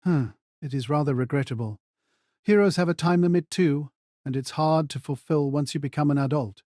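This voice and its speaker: A man's voice: a little high, normal speed, mellow, dry recording, clean studio sound, lightly acted. Man acting as a weary, regretful dying father. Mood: wistful regret. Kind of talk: audio drama acting.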